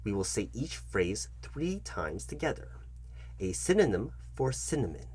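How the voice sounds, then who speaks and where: Man with swooping, husky voice playing fussy interviewer. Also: on the low side, sing-song, darkish tone. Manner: fussy, halting, repeats himself, addresses listener directly. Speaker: ASMR channel host acting as job interviewer. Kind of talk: comedic interviewer roleplay monologue.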